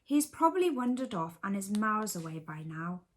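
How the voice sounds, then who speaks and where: A woman's voice: mid-range voice, sing-song, normal speed, lightly acted. A woman voicing a reluctant, doubting toy duck with a grumbling, pessimistic manner in a bedtime storybook. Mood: doubtful.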